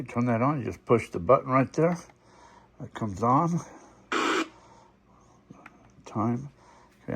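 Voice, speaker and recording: A man giving a casual, unscripted product demonstration, thinking aloud in a folksy way as he looks things over. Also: mid-range voice. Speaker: product reviewer showing gadget on video. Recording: faint background noise.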